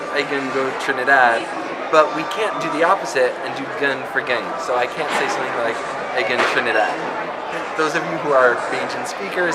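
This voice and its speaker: A man's voice: a little high, very noisy. A man giving a conversational introduction to linguistics, often checking in with the listener by asking "right?". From not from Barbados. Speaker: linguistics lecturer. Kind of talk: explanatory talk to camera. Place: public space in Barbados.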